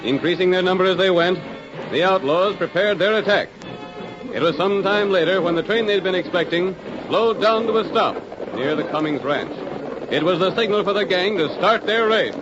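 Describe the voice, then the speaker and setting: A man's voice: high-pitched, moderate pace, close to an everyday voice. A man narrating a western in a dramatic, urgent 1940s radio style. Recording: muffled old radio broadcast. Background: music.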